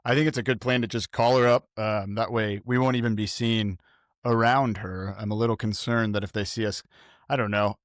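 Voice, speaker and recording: A man's voice: lowish voice, fairly even intonation, brisk, no echo, clean studio sound. A man playing a tabletop roleplaying game, talking through plans in casual improvised chat.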